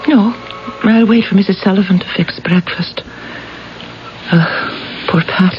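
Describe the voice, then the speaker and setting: Female actor, tender wistful lover, soft intimate delivery, 1970s radio drama, dull broadcast sound, faint noise. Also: mid-range voice, measured pace, natural voice. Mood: gentle, pleading. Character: tender, wistful woman in love, worried for her man.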